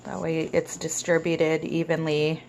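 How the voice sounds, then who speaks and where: A woman with a cold and a raspy voice, giving relaxed step-by-step instructions in a casual cooking tutorial. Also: low voice, unhurried, slight background noise, rough recording. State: hoarse with a cold. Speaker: home cook.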